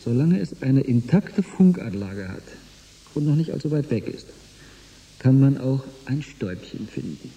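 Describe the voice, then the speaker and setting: An actor playing a calm, reassuring scholar aboard a spaceship in a German radio drama, speaking in a measured way. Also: male voice, mid-range voice, husky, rough recording. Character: calm, reassuring male historian-physicist on a spaceship.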